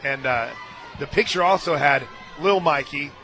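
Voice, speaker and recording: A man calling a softball game on radio as play-by-play announcer, mixing pitch calls with folksy, conversational asides. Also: moderate pace, crowd noise. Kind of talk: live softball commentary mixed with casual chat. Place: stadium radio booth.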